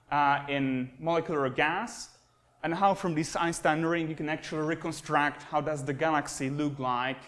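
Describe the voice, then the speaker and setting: Young Slovak man lecturing in a classroom. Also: on the high side. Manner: relaxed, self-deprecating, with frequent fillers, pointing at slides. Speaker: guest lecturer, astronomy postdoc. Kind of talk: university lecture. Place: classroom with projector and computers. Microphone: camera at the back of the room.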